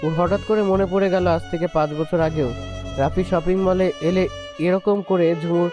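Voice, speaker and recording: High-voiced male story narrator. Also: fairly even intonation, moderate pace, music in the background. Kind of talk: scripted narration of romantic story.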